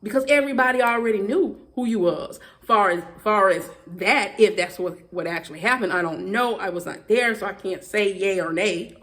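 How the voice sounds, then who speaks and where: A woman with a strong Southern accent talks straight to her viewers, quick and emphatic, and often circles back with "okay." Her voice sits at an ordinary middle pitch. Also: fairly bright tone. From Southern United States. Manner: fast, emphatic talk with repeated "okay" and restarts. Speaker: YouTuber. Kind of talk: heated casual commentary to viewers.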